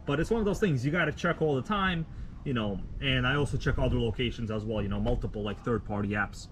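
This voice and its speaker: A man from Russia, a native Russian speaker, hosting a casual live stream outdoors at night, rambling in a relaxed, slangy way with many fillers. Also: medium pitch, quick pace, slight background noise, low-quality recording. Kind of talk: casual live stream chat, reading viewer comments.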